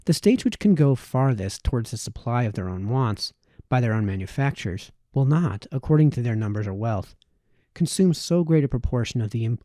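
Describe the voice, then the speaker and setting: A man reading a formal historical text aloud in audiobook style, with measured phrasing and the occasional stumble. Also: mid-range voice, warm tone, no echo, studio quality. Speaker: amateur reader of historical texts.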